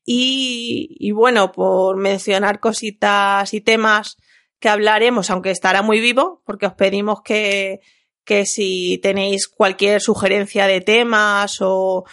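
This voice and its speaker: Spanish woman, kinda extremeño-andaluz accent, gravelly voice, laughs a lot while chatting on her podcast. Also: medium pitch, fairly even intonation, unhurried, fairly bright tone. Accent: Spanish, part Extremaduran, part Andalusian. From Castilla-La Mancha, Spain. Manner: chatty, laughs often, many restarts. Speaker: podcast co-host. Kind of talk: casual podcast chat.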